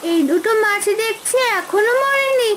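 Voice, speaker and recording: A woman's voice: very high-pitched, lively intonation, normal speed, background noise. A woman voicing an earnest little village girl, a devoted daughter in a children's cartoon. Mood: concerned. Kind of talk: Bengali cartoon voice acting.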